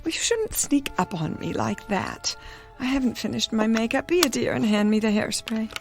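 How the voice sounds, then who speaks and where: Vain, imperious, high-voiced cosmetics boss. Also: female voice, sing-song, moderate pace, vocal fry, music in the background. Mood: flirty, distracted. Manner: imperious, theatrical, mishears people. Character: vain, stubborn, hard-of-hearing wealthy cosmetics company boss. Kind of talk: radio drama acting.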